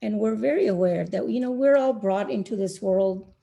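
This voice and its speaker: A middle-aged woman from India speaking as a panelist on an online call, slow and thoughtful with many pauses and fillers. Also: medium pitch, vocal fry, mellow, highs cut off. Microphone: video call.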